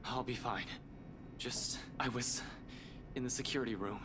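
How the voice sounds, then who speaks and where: A man's voice: mid-range voice, moderate pace, background noise, close to an everyday voice. An actor playing a shaken, protective young man in a horror video game, terse and tense. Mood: shaken, evasive.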